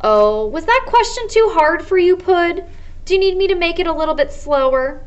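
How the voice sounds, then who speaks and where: A woman voicing a smug fifth-grade bully boy with mocking, drawn-out teasing, in a children's novel read-aloud. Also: extremely high pitch, expressive, lo-fi sound, voice pushed up.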